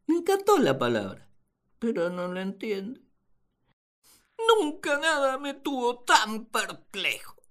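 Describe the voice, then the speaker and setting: A woman plays a naive, puzzled first man in a radio play, full of his own importance. The delivery is slow and swings very widely in pitch. He ponders like an amateur naturalist, pompous and perplexed. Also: full character voice. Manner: theatrical, perplexed, pompous musing. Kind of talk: radio drama diary monologue.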